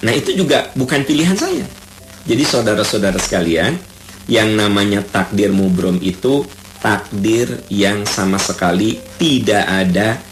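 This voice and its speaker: A man with a Sundanese accent preaching on the radio in a low, animated voice that rises and falls widely. He teaches by repeating key terms and reciting Arabic verses. The sound is roomy, with faint ambience behind him. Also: a little hiss or hum. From Bandung, Indonesia. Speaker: Islamic preacher. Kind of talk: radio sermon.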